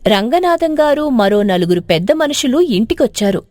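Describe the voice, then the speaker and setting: Woman, storyteller, scripted moral tale, high pitch, lively intonation. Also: moderate pace, no room sound, studio quality.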